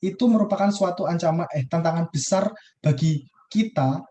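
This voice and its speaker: A man's voice: on the high side, normal speed, warm tone, a little hiss or hum. Hesitant young man on a video call. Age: young adult. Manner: casual, hesitant, many fillers and restarts. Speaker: university student. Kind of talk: student class discussion.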